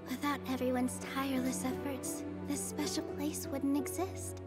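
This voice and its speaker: A woman voicing a gentle, cheerful young female dancer in an anime game, sweet and earnest. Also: sits high, little pitch movement, moderate pace, close to an everyday voice. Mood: grateful, wistful. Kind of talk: anime game character voice acting. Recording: loud music over played game trailer. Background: loud trailer music.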